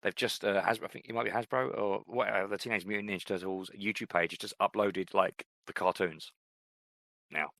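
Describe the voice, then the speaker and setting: A man's voice: on the low side, brisk, gravelly, no room sound. A middle-aged man co-hosting a podcast, chatting casually and rambling with many fillers. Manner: relaxed, rambling, frequent fillers. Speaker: podcast co-host. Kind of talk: casual chat.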